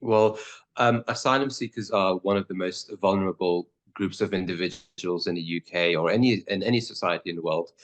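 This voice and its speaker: Low-pitched male lawyer in his thirties, halting and earnest, lots of ums. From born in Pakistan, raised in the Netherlands. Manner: hesitant, many fillers and restarts. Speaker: lawyer guest. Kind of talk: interview answers.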